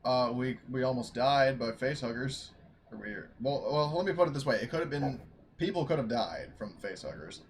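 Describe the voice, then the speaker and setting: A man chatting casually as a tabletop game master, speaking haltingly as he thinks aloud. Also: mid-range voice, animated, slight background noise. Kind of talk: casual post-game chat.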